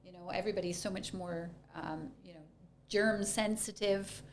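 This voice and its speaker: A woman's voice: medium pitch, fairly even intonation, moderate pace, slight background noise. A woman co-hosting a casual podcast conversation, affirming and agreeable as she adds her views.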